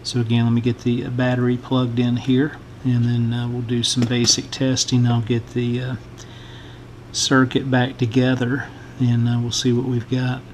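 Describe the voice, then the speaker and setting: A middle-aged man giving an informal hands-on repair walkthrough, halting and unhurried as he thinks aloud. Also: medium pitch, monotone, darkish tone, slight background noise. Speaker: host of a radio repair channel. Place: indoor electronics workbench.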